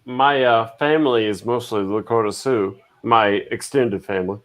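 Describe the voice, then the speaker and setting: A man in his forties from Alabama talks casually on a group video call. His voice sits a little low, and he drifts through his sentences with plenty of ums and uhs. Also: moderate pace. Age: 40s. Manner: relaxed, hesitant with many fillers.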